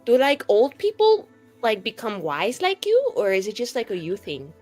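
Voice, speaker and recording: A woman's voice: on the high side, wildly swooping pitch, normal speed, a little mellow, soft background music. A woman voicing a brash, foul-mouthed young female mage of twenty-two in a rambling, dramatic tabletop roleplay. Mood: incredulous. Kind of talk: improvised in-character roleplay.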